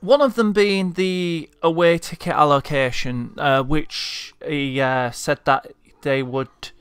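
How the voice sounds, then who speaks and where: A man's voice: fairly high pitch, slow pace, a bit bright, smooth, dry recording. A man from Leeds with a Leeds accent, delivering a frustrated, heartfelt vlog rant as a football fan. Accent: Leeds Yorkshire. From Leeds, England. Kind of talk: impassioned monologue rant.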